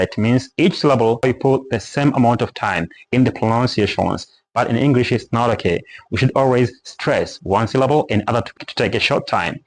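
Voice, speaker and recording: A man teaching English pronunciation in a casual spoken lesson, explaining step by step and slowly repeating example words. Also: medium pitch, poor audio.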